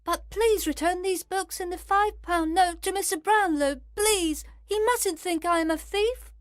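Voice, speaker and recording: A man's voice: very high-pitched, a little slow, no room sound, clean studio sound, pitched above the performer's own voice. A man voicing a frightened, pleading young orphan boy in an audiobook. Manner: earnest, pleading.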